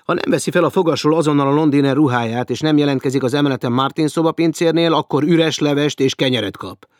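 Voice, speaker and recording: A man's voice: fairly high pitch, no room sound, studio quality, voice pushed up, lightly acted. Man voicing a stern hotel official. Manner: stern and commanding.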